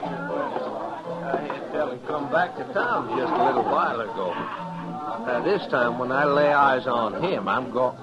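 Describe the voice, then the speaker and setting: An actor playing a swaggering, taunting Old West bully with an American drawl in a 1950s radio western. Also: male voice, mid-range voice, big pitch swings, measured pace, a loud crowd. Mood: sneering, cocky. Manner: drawling, mocking, menacing. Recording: muffled vintage radio with background music.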